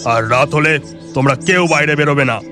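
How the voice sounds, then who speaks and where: An authoritative forest officer in a cartoon, played by a man in a firm, instructing voice. Music plays clearly behind him. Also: fairly high pitch, fairly quick, close to an everyday voice. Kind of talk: Bengali cartoon voice acting.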